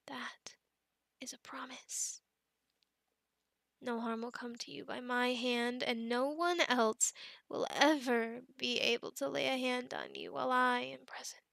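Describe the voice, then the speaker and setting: A woman acts out a female werewolf doting possessively on her captive in an ASMR roleplay. The voice is high and slow, slipping often into whispers, with a crackly creak. The phrasing is formal and soothing, protective throughout. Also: pushed and bright, no echo, heavily acted. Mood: reassuring, protective.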